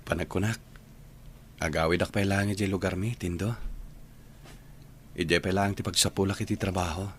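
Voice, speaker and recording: An actor playing a gentle, lovelorn young man in a radio drama, with a Filipino accent. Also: low-pitched, steady tone, moderate pace, pauses often, slight background noise, close to an everyday voice. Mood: resigned. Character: Alfred, sad, gentle young man giving up love. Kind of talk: Ilocano radio drama acting.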